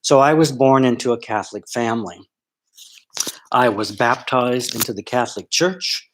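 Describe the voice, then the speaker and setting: A man's voice: mid-range voice, moderate pace, low-quality recording. A middle-aged man from Florida, a sociology professor, telling a live online audience his story in a candid, rambling way full of ums and restarts. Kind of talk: live-streamed personal talk.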